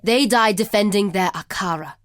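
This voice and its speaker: A woman playing a proud, wary desert tribeswoman warrior in a video game, speaking in a solemn, measured and formal way. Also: mid-range voice, a bit bright, no room sound, studio quality, lightly acted. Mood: guarded, somber. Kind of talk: video game character dialogue.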